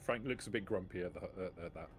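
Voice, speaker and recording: Very deep-voiced blunt man. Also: quick pace, faint music behind. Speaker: tabletop game player. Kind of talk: in-character table talk.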